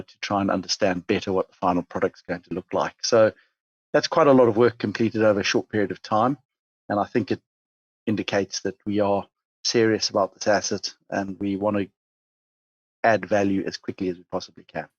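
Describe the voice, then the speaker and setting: A man with a Zimbabwean accent talks through a business interview in a low, husky voice. He sounds measured and pauses often on fillers. The recording is dull and dry. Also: fairly even intonation, dry recording. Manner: measured, many fillers and restarts. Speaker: mining company chief executive.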